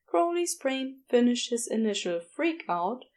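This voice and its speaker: A woman reading fiction as an audiobook narrator, expressive, with wry, deliberate emphasis. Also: fairly high pitch.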